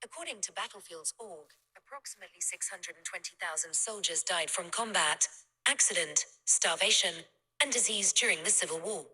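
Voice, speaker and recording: A synthetic woman's voice of a phone assistant, reading out a factual answer in a measured, impersonal way through a phone speaker. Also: fairly low pitch, lively intonation, sharp tone, husky, rough recording.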